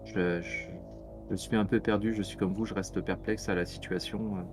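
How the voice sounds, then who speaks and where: Gentle young woman tending a patient, played by a man, very deep, flat voice. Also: normal speed, music in the background, close to an everyday voice. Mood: reassuring. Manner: gentle, hesitant.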